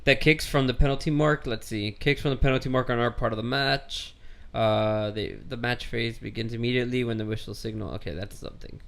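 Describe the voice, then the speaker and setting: A man co-hosting a casual sports podcast at home, speaking in a dry, teasing way and pausing as he reads rules aloud. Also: mid-range voice, fairly even intonation, gravelly. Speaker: podcast co-host.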